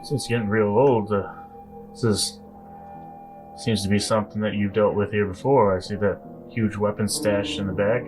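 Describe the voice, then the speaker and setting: A man voicing a suspicious, fed-up sci-fi adventurer in a tabletop game, speaking haltingly. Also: fairly low pitch, fairly even intonation, darkish tone, lightly acted. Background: music.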